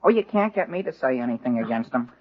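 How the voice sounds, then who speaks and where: A man's voice: medium pitch, sing-song, fast. An actor playing an awkward, lovesick, polite teenage boy who stammers hesitantly in 1940s radio comedy style. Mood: fishing, jealous. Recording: muffled vintage radio recording.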